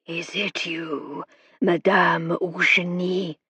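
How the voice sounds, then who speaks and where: A woman's voice: on the low side, flat delivery, pushed and bright, husky, no room sound, dull audio, full character voice. Woman doing a growling foreign spirit guide. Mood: solemn. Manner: slow, solemn pronouncements.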